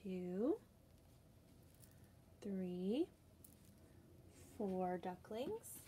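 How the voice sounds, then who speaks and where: Slow, patient young woman teacher. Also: medium pitch, big pitch swings, mellow, a little hiss or hum. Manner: slow, patient, sing-song counting. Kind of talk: reading a picture book aloud and counting for young children. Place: small room indoors.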